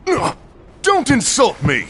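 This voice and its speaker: Blunt hot-headed warrior, animated pitch. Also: male voice, slow, slight background noise, full character voice. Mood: gruff, impatient. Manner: gruff, confrontational. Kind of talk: animated game cutscene acting. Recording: music behind the voice.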